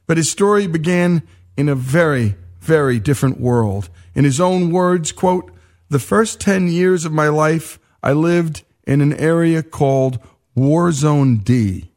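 A man hosting a radio interview, introducing his guest and asking questions in a measured, deliberate way. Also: on the high side, a little mellow. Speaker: host.

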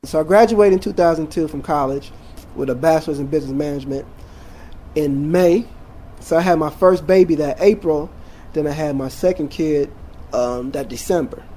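A man in his forties from Jamaica, a teacher, talking in a casual interview, relaxed and rambling with many fillers and restarts. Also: a little high, moderate pace.

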